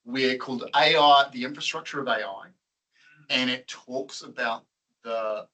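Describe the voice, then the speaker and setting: Bright-voiced man presenting at a meetup. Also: medium pitch, unhurried, low-quality recording. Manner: chatty, laughs often, quizzes the audience. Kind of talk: casual talk to a meetup audience.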